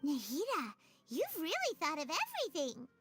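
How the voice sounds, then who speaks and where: A woman voicing a tiny, excitable childlike fairy companion in a video game. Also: very high voice, normal speed, exaggerated voice. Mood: impressed. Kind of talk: scripted game character dialogue. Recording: loud music behind.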